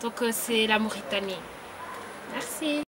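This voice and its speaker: A twenty-one-year-old woman introduces herself hesitantly as a pageant contestant. Her voice is fairly high. A loud crowd chatters behind her. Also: a little slow. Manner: hesitant, repeats "donc". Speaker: pageant contestant, economics student. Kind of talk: spoken self-introduction.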